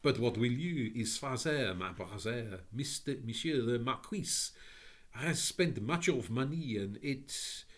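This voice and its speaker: A man voicing an anxious, tearful foreign lady who speaks in fussy, halting, foreign-sounding phrases. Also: mid-range voice, animated, pitched above the performer's own voice.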